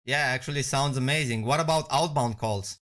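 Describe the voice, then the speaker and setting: A man giving a conversational software walkthrough as a product demonstrator. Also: medium pitch, fairly quick.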